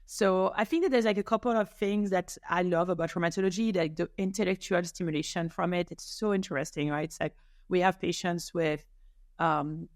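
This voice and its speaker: A woman's voice: on the low side, dry recording, studio quality. A middle-aged woman from France, a rheumatologist guest, answering enthusiastically with laughs and many restarts. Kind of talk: casual interview answers.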